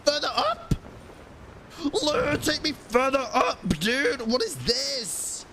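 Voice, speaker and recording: A man doing casual live gaming commentary as a streamer, expressive, slangy and sweary. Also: extremely high pitch, slow pace, slight background noise. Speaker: game streamer. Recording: game music behind the voice.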